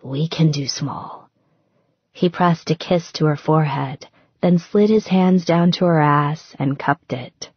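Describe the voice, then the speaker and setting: A woman's voice: deep voice, steady tone, unhurried, husky, no room sound, muffled recording. A woman narrating romance fiction as a scripted audiobook.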